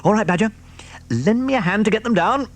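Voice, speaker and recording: A man voicing a sly showy fox. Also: a little fast. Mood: gleeful. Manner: gleeful, theatrical, high and lively. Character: sly grand male fox, proud and showy. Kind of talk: audiobook character voice.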